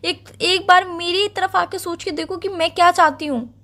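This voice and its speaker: Very high-pitched, pleading young girlfriend woman acting. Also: fairly even intonation, moderate pace, a bit bright. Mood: defensive, hurt. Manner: earnest, insistent, pleading. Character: defensive, pleading young girlfriend accused of cheating. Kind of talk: dramatized phone-call breakup argument.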